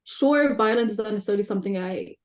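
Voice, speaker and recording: A woman's voice: mid-range voice, fast talker. A young woman from Rwanda, a native Kinyarwanda speaker, talking as a podcast guest in an earnest, thinking-aloud way. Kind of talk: casual conversation.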